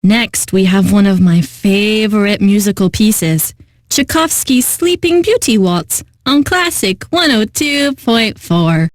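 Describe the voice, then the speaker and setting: An actress playing a refined, eccentric classical radio host in a video game, speaking in a pompous, theatrical way that savors each phrase. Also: female voice, mid-range voice, animated, takes it slowly, no room sound, studio quality. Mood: poised, refined.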